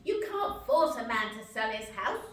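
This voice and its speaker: A woman playing a calm, dryly wry office secretary in a live radio play. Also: on the high side, moderate pace. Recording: very echoey hall, distant microphone.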